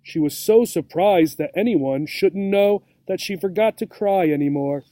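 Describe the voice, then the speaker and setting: Male teacher for children's story reading: mellow, slightly high, expressive, guiding young English learners. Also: unhurried, no room sound. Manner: checks understanding with questions.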